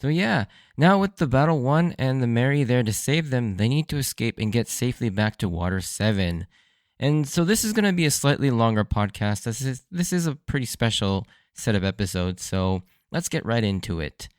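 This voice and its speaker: A man hosting a solo podcast in a casual, chatty way, his voice a little hoarse and worn. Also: mid-range voice, lively intonation, moderate pace, no room sound, clean studio sound. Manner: conversational, with frequent fillers and light laughs. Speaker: podcast host. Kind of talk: solo podcast episode review.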